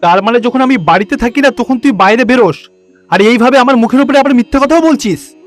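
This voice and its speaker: A man voicing a strict, suspicious and overprotective village father in an animated moral story, stern and admonishing. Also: extremely high pitch, a little fast, faint music behind. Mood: angry accusing.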